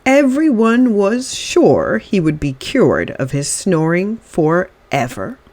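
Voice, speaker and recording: A woman reading a children's story aloud in expressive, dramatic narration with deliberate phrasing. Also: medium pitch.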